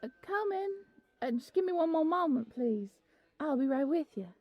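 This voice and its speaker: A young woman playing a shy, flustered bunny-girl receptionist in an audio roleplay, speaking in a soft, breathy voice with a nervous, apologetic stammer. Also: sits high, animated, normal speed, muffled recording. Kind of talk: audio roleplay character acting.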